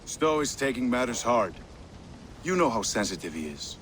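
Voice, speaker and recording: A caring, concerned companion in a game drama. He speaks seriously and gently at middle pitch amid clear rainy ambience. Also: big pitch swings, moderate pace, a little hiss or hum. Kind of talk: dramatic video game acting.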